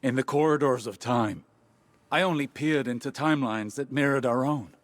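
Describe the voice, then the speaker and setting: A man's voice: medium pitch, normal speed, dry recording, close to an everyday voice. Man playing an earnest, devoted game hero, dry sound. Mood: earnest, pained. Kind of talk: video game voice acting.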